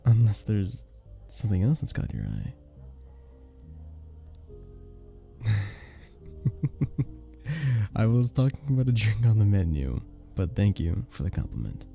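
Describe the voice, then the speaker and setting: Very mellow, flirtatious vampire bartender played by a man. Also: on the low side, sing-song, faint music behind. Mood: courteous, flirtatious. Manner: smooth, teasing, flirtatious, unhurried pauses. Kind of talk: scripted ASMR roleplay, addressing the listener.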